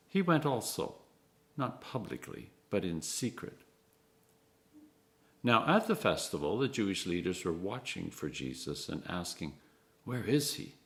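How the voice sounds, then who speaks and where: Expressive man reading scripture aloud. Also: lowish voice.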